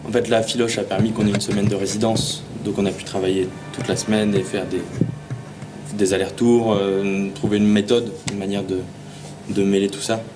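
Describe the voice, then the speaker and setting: A man's voice: on the low side, flat delivery, normal speed, rough recording. A man explaining his work in an interview in an informal way. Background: prominent music.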